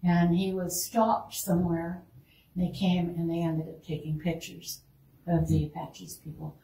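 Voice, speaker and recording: A woman's voice: lowish voice, little pitch movement, a little slow, warm tone. A woman curator giving a casual guided tour of a museum, chatting about each exhibit. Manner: chatty, lingers on exhibits, laughs easily. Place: indoor museum room. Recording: roomy, distant mic, faint noise.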